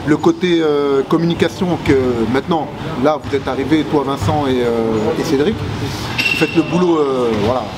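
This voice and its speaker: A man in his forties, a basketball player, answering interview questions with many pauses and restarts, seated in empty arena stands. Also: a little high. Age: 40s. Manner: hesitant, many fillers and restarts. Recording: loud background noise.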